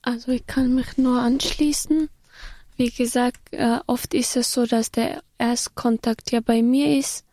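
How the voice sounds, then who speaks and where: Slow, high-voiced woman guest. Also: mellow, no room sound. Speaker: radio interview guest. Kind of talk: conversational, simple explanation.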